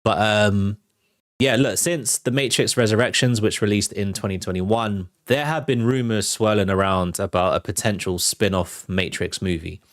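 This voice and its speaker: A man's voice: fairly low pitch, normal speed, no room sound, studio quality. A man hosting a live entertainment news show, chatting casually and talking straight to his viewers. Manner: conversational, addresses viewers directly. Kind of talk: casual livestream commentary.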